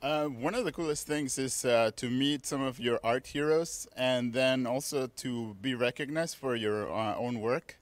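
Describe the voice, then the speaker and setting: A man's voice: mid-range voice, measured pace, hardly any pauses, no echo. Easygoing man from Mexico. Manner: easygoing, many fillers. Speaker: artist, guest.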